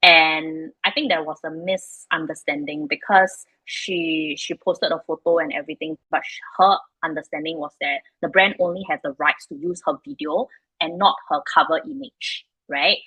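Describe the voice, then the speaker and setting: A woman with a Singaporean English accent, in her thirties, talks frankly and casually as a founder on an interview podcast. Her voice is low-pitched yet bright, with a slightly husky grain. The sound is dry and close, with no room around it. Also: normal speed, no room sound, rough recording. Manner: frank, chatty, many fillers like "like" and "you know". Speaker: startup founder and guest.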